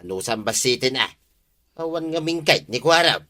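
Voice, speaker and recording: A man's voice: mid-range voice, lively intonation, normal speed, sharp tone. A man acting a proud, aggrieved old village watchman in an Ilocano comedy drama, with an Ilocano accent. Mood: grumbling.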